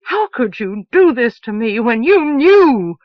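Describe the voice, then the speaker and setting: A high, loud young woman pours out every line in a rush of feeling, as a woman narrator voices her. The recording is dry and a little dull, with no room sound at all. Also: sing-song, slow pace, dry recording. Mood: furious. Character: young woman, impulsive and desperate.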